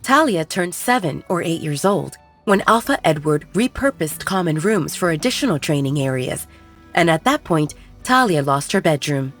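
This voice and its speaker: A woman reads fiction as an audiobook narrator. Her voice sits a little low and moves with very lively intonation. Her storytelling is measured and expressive, over soft background music. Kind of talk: scripted dramatic fiction narration.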